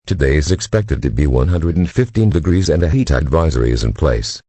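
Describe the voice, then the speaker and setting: A synthetic-sounding man's voice as a weather radio announcer, reading official bulletins in a measured, impersonal way. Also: extremely low pitch, animated, dark tone, husky, no room sound, heavily acted. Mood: calm, official.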